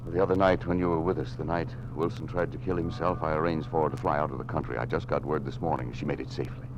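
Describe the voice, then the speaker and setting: A grim governor with secrets, in golden-age radio style. His voice is very low and his delivery is deliberate and commanding. There is light noise over the old recording. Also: fairly even intonation. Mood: urgent, secretive. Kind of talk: old-time radio drama acting.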